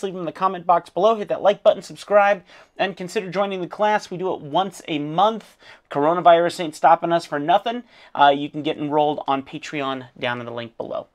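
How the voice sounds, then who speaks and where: A man with a hoarse, fading voice walks a student through a critique of her drawing. His pitch sits on the higher side for a man, and he talks informally, encouraging and full of fillers. It sounds like a recorded art lesson. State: losing his voice. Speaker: art teacher.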